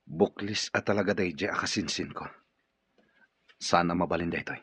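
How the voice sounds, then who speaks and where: A man's voice: deep voice, moderate pace, fairly bright tone, husky, full character voice. An actor playing a stern, wary, ailing male boss in a radio drama. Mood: suspicious, firm. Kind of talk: Ilocano radio drama acting. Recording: dull, lo-fi radio drama audio.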